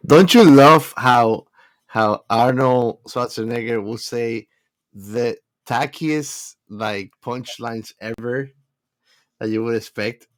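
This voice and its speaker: A man's voice: mid-range voice, takes it slowly. A man co-hosting a casual chat, talking in a slangy, buddy-like way full of "bro" and "man". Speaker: podcast co-host.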